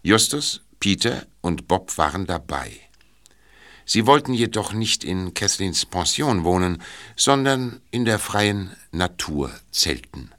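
A man's voice: low-pitched, very animated, takes it slowly, a bit bright. A man reading scripted narration for an audio drama.

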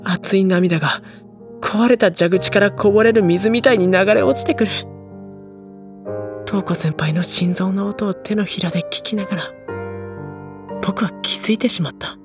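A woman playing a sensitive, earnest teenage schoolboy in an audio drama, soft-spoken, sincere and introspective. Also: mid-range voice, normal speed, pauses often, lightly acted. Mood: tearful reflection. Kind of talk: Japanese audio drama acting and narration. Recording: music behind the voice.

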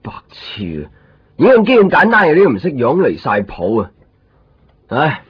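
A man's voice: medium pitch, wildly swooping pitch, normal speed, slightly rough, no echo, full character voice. A man with a Hong Kong Cantonese accent playing a weary, short-tempered photocopy shop keeper in a radio drama. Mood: irritated, scolding. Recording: muffled 1990s radio drama, music behind. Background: music.

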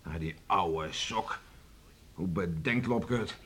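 Bright-voiced gruff farmer father. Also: deep voice, slow. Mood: grumbling. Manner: blunt, mock-stern, colloquial. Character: gruff, teasing farmer father of grown children. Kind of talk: Dutch radio play acting. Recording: faintly hissy old radio recording.

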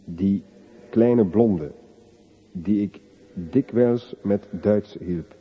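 A grave young soldier voicing a letter home in a radio drama. He speaks extremely slowly in broken phrases, with a husky, fairly low voice, on a slightly dull old recording. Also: exaggerated voice. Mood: sorrowful. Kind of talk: radio play monologue.

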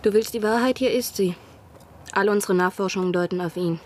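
An actress in a German radio drama playing a desperate young spy in love, speaking with earnest intensity and sometimes a hushed breath. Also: female voice, medium pitch, a little fast, slight background noise, close to an everyday voice. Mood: insistent, arguing. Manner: earnest, intense, at times dropping to breathy whispers. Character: desperate female spy in love, pleading and persuading.

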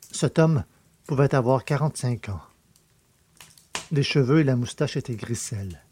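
Male narrator for a classic novel, medium pitch, soft dark tone with some huskiness. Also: husky, no echo. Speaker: audiobook narrator. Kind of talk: scripted literary narration.

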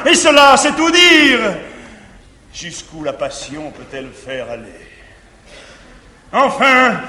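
A man playing a jealous middle-aged guardian in declaimed stage verse, swinging from tender pleading to shouting, in a reverberant 1959 theatre recording. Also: sits high, exaggerated voice. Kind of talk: 1950s French stage verse acting.

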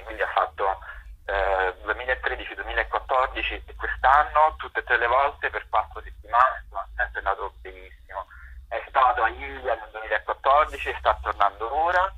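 A man's voice: fairly low pitch, sharp tone, breathy edge. A man answering radio interview questions as a guest over the phone, in a hesitant, piecemeal way. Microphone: phone call to radio studio. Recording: dull, muffled telephone line.

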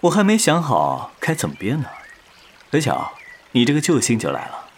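A man's voice: mid-range voice, wildly swooping pitch, moderate pace, vocal fry, slight background noise. A man playing a proud, roguish male demon, devoted and teasing, with dramatic swaggering delivery in an audio drama. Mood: sly, teasing. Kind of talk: Chinese audio drama acting.

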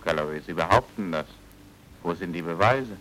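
An actor playing a stubborn, disillusioned pulp novelist in a German radio crime drama, speaking in a weary, laconic way. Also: male voice, sits low, expressive, normal speed, fairly bright tone, slight background noise, low-quality recording. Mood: defiant.

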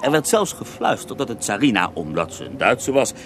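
A man's voice: lowish voice, very animated, a little fast. An actor playing an earthy Russian holy man who tells his life story with theatrical relish in a radio drama. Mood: emphatic. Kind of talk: radio drama acting in interview form. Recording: noisy older radio drama recording.

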